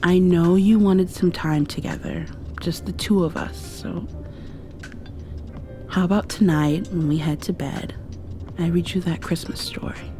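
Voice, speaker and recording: A woman doing an ASMR roleplay, drifting between whispers and soft speech in an affectionate, playfully scolding way. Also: fairly low pitch, measured pace, faint music behind.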